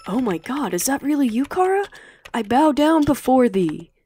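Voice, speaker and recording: A woman's voice: high-pitched, sing-song, normal speed, mellow, pitched above the performer's own voice. A woman voicing a cheeky, leering teenage boy with a teasing, casual manner in an audiobook. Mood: awed. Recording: slightly noisy home recording.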